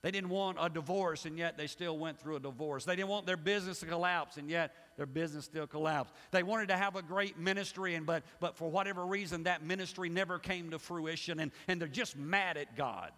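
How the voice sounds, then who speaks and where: A man's voice: on the high side, brisk, few pauses. A middle-aged man preaching from the pulpit with a slightly raised voice, pressing hard on some lines and dropping almost to a murmur on others. His words ring out with a long reverb through a big church hall. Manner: emphatic preaching that swings from loud to hushed. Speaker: pastor. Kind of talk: sermon. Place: church sanctuary.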